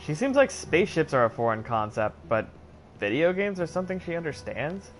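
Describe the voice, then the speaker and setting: A man's voice: on the high side, big pitch swings, brisk, soft background music. Sarcastic man streaming a game. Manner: sarcastic, puzzled thinking aloud. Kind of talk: casual let's-play commentary.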